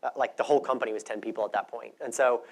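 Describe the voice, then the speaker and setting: A man's voice: medium pitch, fairly even intonation, rapid-fire, creaky. Conversational man giving a conference talk. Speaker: conference speaker.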